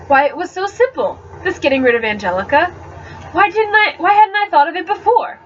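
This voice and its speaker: A woman giving an expressive, theatrical amateur reading of a first-person novel, with the odd stumble, on a webcam mic. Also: very high-pitched, a little fast. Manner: expressive and theatrical, stumbles over words now and then. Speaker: amateur book reader. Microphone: webcam microphone. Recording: dull, slightly noisy webcam audio.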